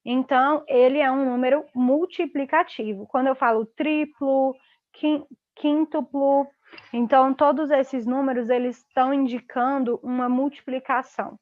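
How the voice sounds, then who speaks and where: A young woman with a Brazilian accent teaches a live class over a video call. Her voice sits a bit high and she talks in a relaxed, chatty way, leaning on fillers as she explains. The audio is dull and lo-fi, with a dry, close sound. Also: normal speed, dry recording. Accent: Brazilian Portuguese. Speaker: online tutor. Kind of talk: live online lesson.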